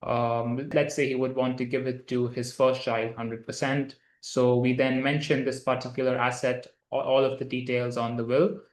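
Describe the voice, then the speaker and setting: Flat-voiced man. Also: medium pitch, moderate pace, few pauses. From grew up in India. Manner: steady, matter-of-fact, with occasional fillers. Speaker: lawyer, guest. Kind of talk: explanatory interview answers. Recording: echoey room.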